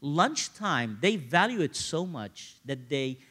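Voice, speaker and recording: A man, preaching in an animated, conversational storytelling style and repeating phrases for emphasis. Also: fairly high pitch, slow. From Egypt, grew up in Cairo. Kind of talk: sermon with personal storytelling.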